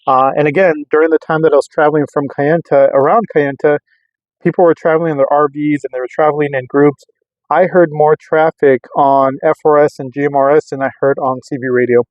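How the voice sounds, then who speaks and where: A man's voice: on the high side, steady tone, brisk, dry recording. Man rambling casually, dry and slightly dull sound. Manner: repetitive, insistent, circling back to restate points. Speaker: radio enthusiast vlogger. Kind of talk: casual rambling product test talk to camera. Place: in a vehicle on a road test.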